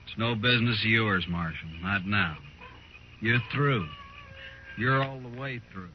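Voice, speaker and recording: An actor playing a sneering, trigger-happy renegade gunrunner in a 1950s radio western, with a taunting, folksy manner. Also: male voice, lowish voice, measured pace, lightly acted. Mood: menacing. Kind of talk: 1950s radio drama acting. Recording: noisy, muffled old radio recording.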